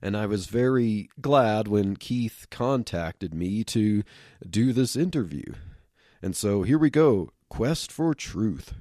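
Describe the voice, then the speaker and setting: A man's voice: on the low side, lively intonation, takes it slowly, mellow. A man hosting a podcast, speaking conversationally in a solo introduction while getting over a head cold. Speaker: podcast host.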